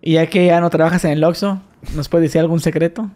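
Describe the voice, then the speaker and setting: A man hosting a podcast, asking questions in a relaxed way with fillers. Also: a little high, fast talker. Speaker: podcast host. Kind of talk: casual interview.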